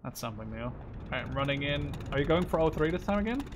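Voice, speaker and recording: A man's voice: fairly high pitch, animated, fast talker, background noise. A man in his twenties chatting casually over gameplay, exclaiming and swearing as he goes. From Canada. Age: 20s. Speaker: gamer. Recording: game gunfire behind the voice. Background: video game gunshots and vehicles.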